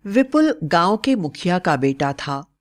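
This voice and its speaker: A woman's voice: on the low side, very animated, unhurried. A woman narrator reading a scripted story.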